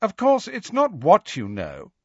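A man's voice: mid-range voice, sing-song, normal speed, a bit bright, no echo, dull audio, pitched above the performer's own voice. Man as a smug, swooping teenage braggart. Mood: smug, boastful. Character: smug, boastful, privileged teenage schoolboy.

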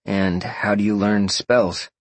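Man playing a casual young guy, low-voiced. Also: fairly even intonation, slow, no room sound. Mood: curious.

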